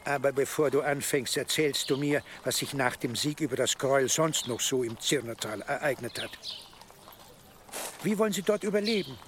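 A man playing a shrewd, authoritative archbishop who speaks in a measured way in a radio drama. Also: mid-range voice, slight background noise, natural voice. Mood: commanding, curious. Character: lordly, shrewd male archbishop granting favours. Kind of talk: German radio drama acting.

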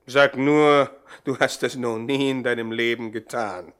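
An actor playing a cool, smug gang boss who calmly plans a killing, in a 1960s radio drama. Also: male voice, mid-range voice, a little slow, smooth, natural voice. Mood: menacing. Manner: cool, smug, quietly threatening. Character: calm menacing gang boss.

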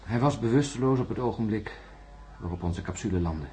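An actor playing a shaken male astronaut in a radio play, telling his story in a hesitant, halting way. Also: lowish voice, dark tone, soft background music, natural voice. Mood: recounting. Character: shaken male astronaut recounting a jungle ordeal.

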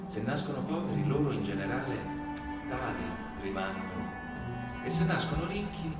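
Guy doing a dramatic stage reading, super echoey, loud music behind him. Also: mid-range voice, animated, normal speed. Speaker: stage performer. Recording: very echoey distant hall recording with music. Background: loud musical instrument.

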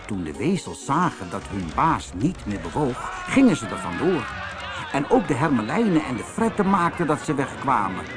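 A man narrating a children's fairy tale in an expressive, dramatic storytelling manner. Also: fairly high pitch. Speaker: audiobook narrator.

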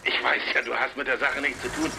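An actor playing a cautious, anxious law partner, speaking hesitantly on a phone call in a German radio crime drama. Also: male voice, medium pitch, flat delivery, fast, gravelly, background noise, close to an everyday voice. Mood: worried, hesitant. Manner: hesitant, hedging.

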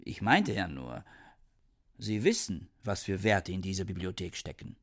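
Pedantic, clipped professor; lively pitch contour. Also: male voice, measured pace, exaggerated voice. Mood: curt. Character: fussy, pedantic scholar, a professor. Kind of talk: radio play acting.

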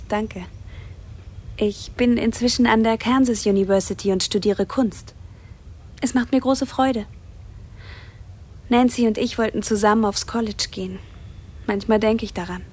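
A woman's voice: medium pitch, darkish tone, slightly rough, natural voice. An actress playing a friendly young female student in a radio drama, cheerful with a wistful streak. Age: young adult.

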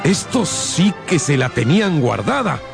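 An amused older professor in a radio play. His voice is gravelly and swings widely in pitch, over loud music. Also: big pitch swings, unhurried, full character voice. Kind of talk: radio drama acting.

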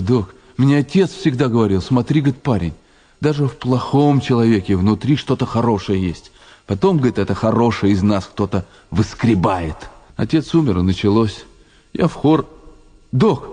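A man's voice: medium pitch, darkish tone, husky, long reverb tail. An actor playing a frightened, naive convict in a radio drama, rambling and plaintive as he insists on his innocence. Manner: rambling, plaintive, jittery, colloquial. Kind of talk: Soviet radio drama acting.

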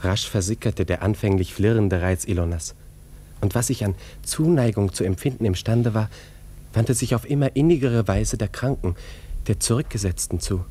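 An actor playing a sensitive young lieutenant in a German radio drama, courteous and reflective, with literary phrasing. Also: male voice, on the low side, normal speed, mellow, slight background noise, natural voice. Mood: reflective, tender. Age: young adult. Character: sensitive young cavalry lieutenant recalling his past. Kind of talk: German radio drama, first-person narration.

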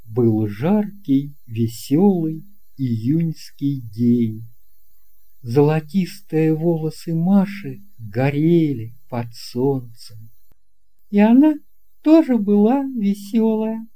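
Woman reading a kids tale, really mellow voice, takes her time. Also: deep voice, very animated, drawn-out words. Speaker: narrator. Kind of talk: scripted storybook narration.

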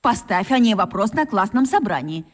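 A woman's voice: mid-range voice, sing-song, moderate pace. An actress voicing a worried, strict mother in a children's radio play, speaking in an insistent, anxious way. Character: worried, strict mother questioning her daughter.

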